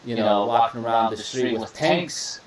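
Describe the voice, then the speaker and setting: A man's voice: medium pitch, moderate pace, distant mic. A young man from Iraq talks easily as a podcast host, looping back on his words with a laid-back, friendly flow. He sits a little away from the mic. The room rings with strong echo. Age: young adult. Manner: relaxed, repeats words, says "bro" and "beautiful" often. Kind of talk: casual interview chat. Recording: echoey room, voice a bit off-mic.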